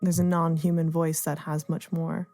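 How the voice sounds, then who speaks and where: Woman, lower register, very level intonation, thoughtful interviewee feeling out each idea, faint music underneath. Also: flat delivery, moderate pace, darkish tone. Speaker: artist and playwright being interviewed. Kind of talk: reflective interview conversation.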